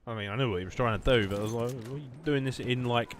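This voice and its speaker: A man's voice: mid-range voice, very fast, slight background noise. A man in his late twenties with a southern English accent, chatting casually and sarcastically as he livestreams a game. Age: late 20s. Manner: sarcastic, swearing banter. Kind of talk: casual rambling chat while gaming.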